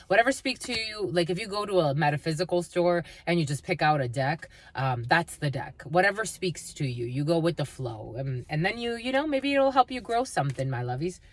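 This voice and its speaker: A woman from the northeastern United States giving a casual live tarot reading, rambling with many fillers and talking fondly to her viewers. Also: lowish voice. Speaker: tarot and energy reader.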